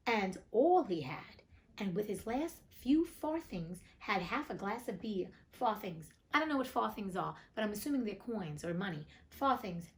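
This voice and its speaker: A woman reading a storybook aloud as host, breaking off for chatty, informal, joking commentary. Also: mid-range voice. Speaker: reader and host of a classics read-aloud show.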